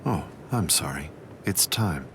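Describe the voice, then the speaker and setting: A man playing a calm, superior villain in an anime game dub, polite and detached with a very deep voice. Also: measured pace, background noise, full character voice. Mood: amused calm. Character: calm, cold, superior male villain mastermind.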